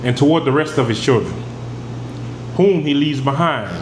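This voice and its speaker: A man's voice: mid-range voice, lively intonation, normal speed. A man teaching scripture, reading verses aloud and then explaining them in plain, emphatic talk. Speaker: preacher. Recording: echoey room with air conditioning hum.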